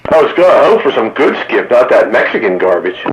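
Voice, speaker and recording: An American man chatting casually and haltingly over two-way radio, thinking aloud as he goes. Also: medium pitch, very animated, crowd noise. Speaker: radio hobbyist in a group chat. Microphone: two-way radio, recorded from a receiver stream. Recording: lo-fi CB radio with static.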